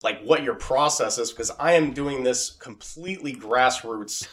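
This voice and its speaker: Guy hosting a podcast, casual chat, earnest and kinda rambly, medium pitched voice. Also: unhurried. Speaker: podcast host and audio drama maker. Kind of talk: casual conversation.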